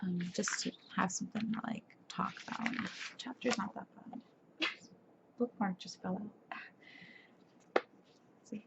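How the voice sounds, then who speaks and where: Young woman, sleepy soft-spoken ASMR reader, stumbles and self-corrects; dull webcam sound. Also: medium pitch, slow, pauses often. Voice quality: soft, breathy. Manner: stumbles and corrects herself while reading. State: tired, sleepy. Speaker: ASMR creator. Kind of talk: soft-spoken ASMR chat and reading aloud. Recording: dull lo-fi webcam audio with faint hiss.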